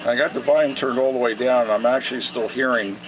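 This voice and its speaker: A man giving a casual, unhurried repair demonstration, thinking aloud in a folksy way, recorded on a camera microphone in his shop. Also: mid-range voice. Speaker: radio repair vlogger. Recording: steady noisy camera sound in workshop.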